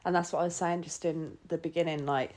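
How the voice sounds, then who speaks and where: A woman's voice: sits low, fairly even intonation, fast talker, darkish tone. A woman hosting a podcast, chatting enthusiastically and casually with plenty of filler words. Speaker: podcast host.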